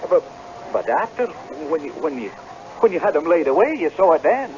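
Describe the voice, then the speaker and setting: An actor playing an earnest, easily flustered young Scottish tenant in a radio drama, with a stammering, agitated way of telling things. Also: male voice, fairly high pitch, sing-song, moderate pace. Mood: anxious insistence. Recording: dull, noisy old radio recording.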